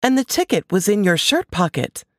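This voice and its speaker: A woman's voice: medium pitch, very animated, normal speed, no room sound, clean studio sound, voice pushed up. A woman voicing an insistent, startled wife in an audiobook suspense story, full of questions and exclamations. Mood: incredulous. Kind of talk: audiobook character dialogue.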